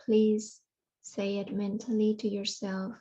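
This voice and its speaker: Mellow, even-toned woman guiding meditation. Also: mid-range voice. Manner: soothing, unhurried phrasing with long pauses. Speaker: yoga nidra guide. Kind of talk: guided meditation.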